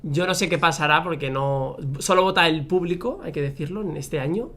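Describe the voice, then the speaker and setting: A man's voice: on the high side, fairly quick, fairly bright tone. A man hosting an entertainment show, chatting in an upbeat, talkative way. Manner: chatty, upbeat, laughs often. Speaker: host. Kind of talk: casual entertainment chat.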